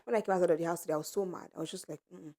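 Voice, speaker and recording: A woman co-hosting a casual podcast chat with friends, telling animated stories and laughing often. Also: lowish voice, very fast. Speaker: podcast co-host.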